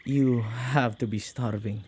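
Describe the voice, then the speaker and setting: A man playing a witch who keeps company with ghosts, excitable and caring, in a conversational ASMR audio roleplay with a husky, gravelly voice, speaking closely to the listener. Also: mid-range voice, slow, a little hiss or hum, lightly acted. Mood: casual, teasing.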